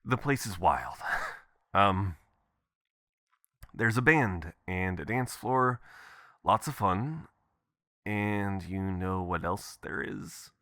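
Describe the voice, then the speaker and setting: A man's voice: sits low, takes it slowly, long pauses, no echo, clean studio sound, full character voice. A man playing an adventurous, affectionate boyfriend leaving casual, playful voicemails in an intimate roleplay style. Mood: sheepish, amused. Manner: casual, expressive, chuckling, swearing. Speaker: audio performer.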